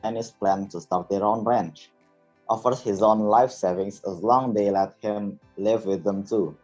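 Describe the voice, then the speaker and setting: A man's voice: on the low side, steady tone, a little slow, darkish tone. A young adult man from Indonesia reading a prepared book summary aloud as a student. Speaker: student reader. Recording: thin phone-like audio with background music. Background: music.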